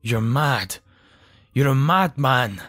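Pleading older brother voiced by a man, low, husky and slow, in a dry booth sound. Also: animated, a bit bright, no echo. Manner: earnest, pleading. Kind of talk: fan audio drama acting.

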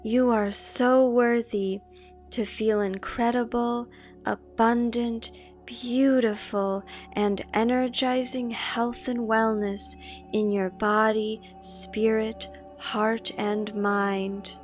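A woman with a fairly high voice leads a guided meditation. She speaks very slowly and calmly, leaving long pauses between measured phrases. Soft meditation music plays behind her. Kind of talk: slow guided meditation with spoken affirmations.

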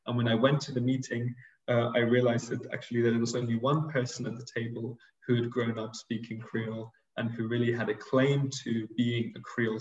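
A man presenting a linguistics paper over a video call, with a steady delivery and frequent fillers. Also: medium pitch. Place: room indoors. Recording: roomy, distant, dull video call audio.

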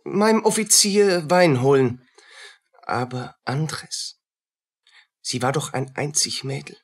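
A woman playing a haunted, jealous downtrodden soldier in a radio play, halting and brooding, with a breathy, husky voice. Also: low voice, exaggerated voice. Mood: brooding, suspicious. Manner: halting, brooding, broken phrases. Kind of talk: German radio play acting.

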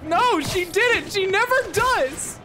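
A woman's voice: extremely high pitch, lively intonation, slow, heavily acted. A woman voicing a cheeky, nerdy young male superhero in an improvised comedy game dub, flippant and teasing. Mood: petulant.

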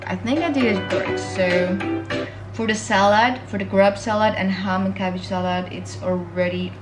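A woman's voice: medium pitch, steady tone, moderate pace, over music, rough recording. A pregnant young woman chatting casually in a home cooking vlog, full of fillers and restarts, recorded on a camera mic at home. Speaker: vlogger. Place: home kitchen and living room.

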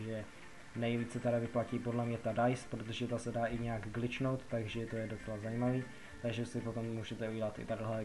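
Young Czech man, flat casual game commentary. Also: fairly low pitch, rough recording. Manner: rambling, repetitive, colloquial explaining. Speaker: gaming video host.

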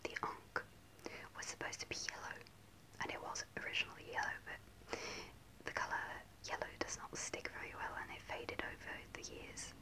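A young woman telling a personal story in a soft, hesitant ASMR whisper, close to the camera in a small room. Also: moderate pace, rough recording. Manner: soft unvoiced whisper, hesitant with many ums. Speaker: ASMR creator. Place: small indoor room before a starry backdrop. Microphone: close to a camera at face level.

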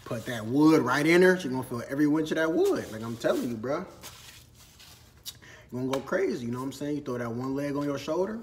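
A man's voice: medium pitch, animated, fast, a little hiss or hum. A man in his twenties from Minnesota, chatting casually through a Q&A vlog while eating, filmed on a phone in a storage room. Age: 20s. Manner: laid-back slangy chat, eating while talking. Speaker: vlogger. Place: storage room with shelves and ladders, indoors.

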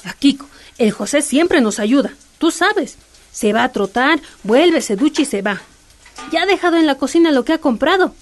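Radio soap: easygoing, talkative Bolivian wife, female actor with a high voice, placating a grump. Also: expressive, moderate pace, soft background music. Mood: soothing, chatty. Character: easygoing, chatty homemaker wife soothing her grumpy husband.

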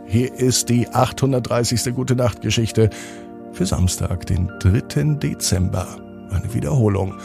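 A man narrating a bedtime story for children as a podcast host, phrasing deliberately with dramatic pauses. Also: mid-range voice, creaky, over music.

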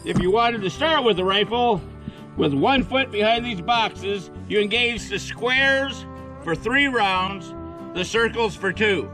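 A man's voice: sits high, unhurried, music in the background, low-quality recording. An older man giving procedural, matter-of-fact instructions as a range officer at an outdoor shooting match.

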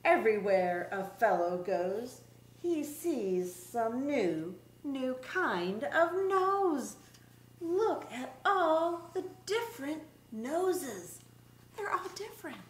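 Very slow, high-voiced woman reading to children. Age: middle-aged. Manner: expressive, deliberate delivery with drawn-out words for young children. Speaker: special education teacher reading a picture book aloud. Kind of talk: children's story time read-aloud. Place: small indoor room set up as a classroom. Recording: roomy echo, background room noise.

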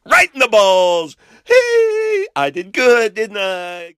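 A vengeful, self-satisfied pet acted by a man in a comedy animal dub. The voice is slightly high, wildly swooping and slow, with a dry sound. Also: wildly swooping pitch, fairly bright tone, smooth, no room sound, full character voice. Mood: smug. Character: vengeful, smug animal pet, eager for praise.

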